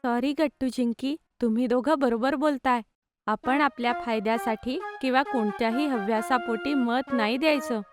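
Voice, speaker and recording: A woman's voice: a little high, moderate pace, soft background music, natural voice. A woman playing an earnest young schoolgirl in a children's cartoon moral story. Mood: apologetic, sincere. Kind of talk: Marathi children's cartoon dialogue.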